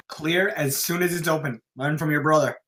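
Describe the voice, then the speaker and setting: A man's voice: on the high side, steady tone, normal speed, fairly bright tone, natural voice. A man acting a stern, cautious commanding overseer in a script table read.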